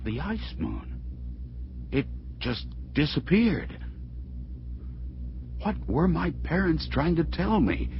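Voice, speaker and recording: Determined rebel starship pilot, highish voice, dramatic radio play delivery, muffled recording, tense muttering. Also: male voice, wildly swooping pitch, takes it slowly, long pauses, soft background music, heavily acted. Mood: tense, desperate. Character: embattled male space squadron colonel, defiant, determined rebel.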